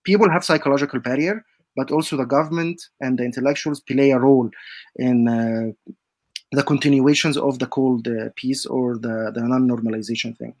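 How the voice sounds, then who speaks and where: A man's voice: mid-range voice, fairly even intonation, normal speed, darkish tone, dry recording. A young man from Egypt, a podcast guest giving long, earnest answers that lay things out step by step.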